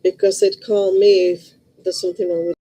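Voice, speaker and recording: A middle-aged woman with a Liverpool accent talks casually to her viewers, rambling from one thought to the next. Her voice is mellow and warm at a middling pitch. She speaks bluntly and chattily. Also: little pitch movement, moderate pace, faint music behind, low-quality recording. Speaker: vlogger. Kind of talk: casual chat to camera.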